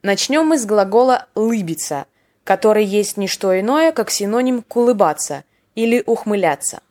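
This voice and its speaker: A woman's voice: medium pitch, lively intonation, moderate pace, darkish tone. Woman reading a slang lesson podcast, dry playful tone, acts out little examples. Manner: wry, playful, acts out short example dialogues. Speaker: podcast host teaching Russian slang. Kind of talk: scripted educational podcast narration.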